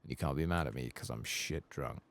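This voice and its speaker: Man, bottomless pitch, gravelly fry, soft ASMR gaming commentary, playful, sweary, self-deprecating. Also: a little fast. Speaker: host of a gaming playthrough channel.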